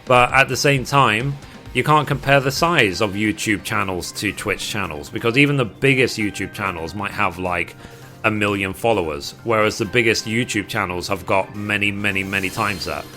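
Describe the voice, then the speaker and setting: A man's voice: on the low side, normal speed, pushed and bright. A man with a British accent chatting casually and at rambling length with his live stream viewers as a gaming streamer. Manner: rambling, reading chat aloud and replying. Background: music.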